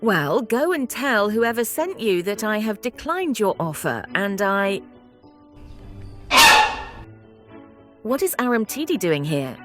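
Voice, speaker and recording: A woman's voice: mid-range voice, sing-song, normal speed, lightly acted. A woman playing a defiant, taunting female bird in an audio play, speaking in a declamatory way. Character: defiant, mocking female bird, disillusioned former propagandist. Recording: music behind the voice.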